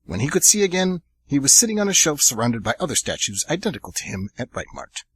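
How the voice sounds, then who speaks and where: Lively, husky-voiced male storyteller. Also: mid-range voice, quick pace. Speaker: radio drama narrator. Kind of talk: scripted storybook narration.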